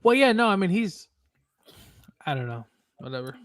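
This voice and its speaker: A man's voice: sits high, sing-song, normal speed. A man co-hosting a casual football picks show, loose and filler-heavy, laughing along. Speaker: sports picks co-host. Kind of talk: casual sports betting chat.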